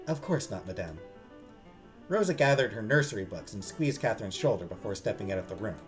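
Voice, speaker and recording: A man's voice: medium pitch, a little mellow. A man streaming a game, reading the story aloud expressively and dropping into casual asides.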